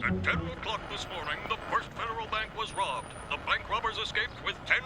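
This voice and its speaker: A man playing a brisk radio newsreader in a cartoon, formal and announcer-like. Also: high voice, natural voice, poor audio. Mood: brisk, urgent. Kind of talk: news bulletin reading. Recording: loud music under the voice.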